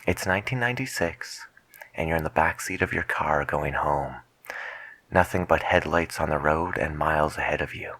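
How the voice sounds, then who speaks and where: A man performs as a late-night radio DJ with a very low, crisp-edged voice. He speaks softly and slowly in an ASMR style, musing about music and slipping toward a whisper. The sound is close and dry. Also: no echo, exaggerated voice. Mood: calm, musing. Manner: soft-spoken, musing, lapses into near-whisper. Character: mellow late-night radio DJ, male, music-loving, unhurried. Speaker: radio DJ host. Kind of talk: soft-spoken ASMR radio DJ roleplay.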